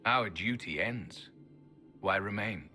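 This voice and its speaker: Deep, sharp-toned ancient elf guardian. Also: male voice, slow, soft background music, full character voice. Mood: weary, resigned. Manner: grave, terse, fatalistic. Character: solemn, world-weary ancient male elf temple guardian. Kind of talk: dramatic video game acting.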